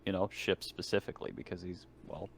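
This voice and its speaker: Man, low-pitched voice, tabletop player, casual chat. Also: flat delivery, brisk, background noise.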